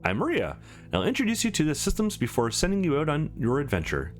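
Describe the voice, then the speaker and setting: A man's voice: fairly high pitch, huge pitch swings, fast talker, voice pushed up. A man voicing an upbeat female company receptionist in a game, with polished, formal sales patter. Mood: cheerful, courteous. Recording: music behind the voice.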